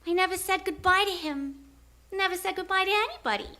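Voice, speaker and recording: Feisty female radio-drama lead, acted by a woman: sharp, piercingly high voice, snappy and expressive. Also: fairly quick. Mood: wistful, candid. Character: feisty female library cataloger, former circus acrobat. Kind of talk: live radio drama acting. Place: theater stage.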